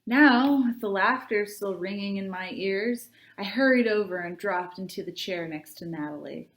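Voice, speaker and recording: A woman reading aloud to children as a librarian, in an expressive, deliberate storybook style. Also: medium pitch. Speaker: youth services librarian reading aloud to tweens.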